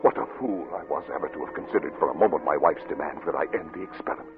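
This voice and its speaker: An actor playing an obsessed, paranoid middle-aged scientist in theatrical, formal 1940s radio style. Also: male voice, very deep voice, very fast. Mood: brooding, obsessed. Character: obsessed, paranoid male scientist, haunted and defiant. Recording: tinny old radio recording with music.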